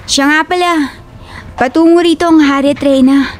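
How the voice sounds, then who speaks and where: A woman's voice: high-pitched, steady tone, moderate pace, close to an everyday voice. Woman playing a headstrong, devoted young woman. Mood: caring. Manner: playful, headstrong, affectionate. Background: faint music.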